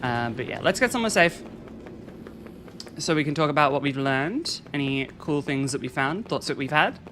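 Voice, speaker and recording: A game streamer talking casually through a live stream, chatting with viewers and reading chat aloud in a soft, soothing way. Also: medium pitch, animated, moderate pace, a little hiss or hum. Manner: chatty, reads and answers chat.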